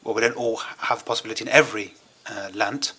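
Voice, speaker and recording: Bright-voiced male conference interpreter. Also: lowish voice, steady tone, breathy edge. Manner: measured, hesitant phrasing with pauses. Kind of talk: simultaneous interpretation of a speech.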